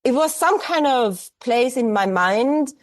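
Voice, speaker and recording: Austrian woman, forties, artist guest, German accent, hesitant and reflective, higher-pitched. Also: animated, slow pace. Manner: thoughtful, hesitant, many fillers and restarts. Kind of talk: reflective interview conversation.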